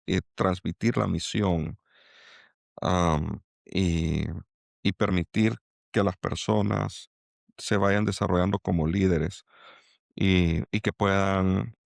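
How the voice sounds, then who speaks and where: A middle-aged man from El Salvador with a Salvadoran accent and a low, gravelly voice. He talks in a halting, reflective way, with lots of fillers and restarts. The sound is dry and close. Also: no room sound. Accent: Salvadoran Spanish. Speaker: angel investor guest. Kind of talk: reflective interview talk.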